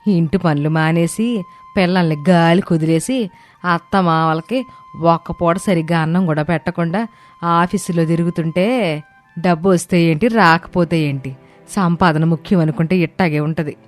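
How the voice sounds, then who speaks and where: A woman's voice: on the low side, moderate pace, soft background music, lightly acted. A woman voicing a bitter, scornful older mother-in-law in a family moral story, grumbling and accusing. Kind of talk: animated moral story dialogue.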